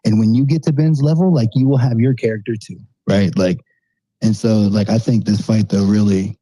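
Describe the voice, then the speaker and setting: A man with a husky, very dark voice talks in eager bursts, peppering everything with "you know what I mean." The recording is dry and close, with a lowish pitch. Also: steady tone, normal speed, dry recording, rough recording. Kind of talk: casual podcast chat.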